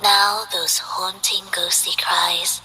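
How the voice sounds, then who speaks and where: A calm mysterious performer girl, slow dreamy lines, bright voice. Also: lowish voice, husky, full character voice, poor audio. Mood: serene. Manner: slow, soothing and poetic. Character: serene, mysterious young woman performer. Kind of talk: game voice lines.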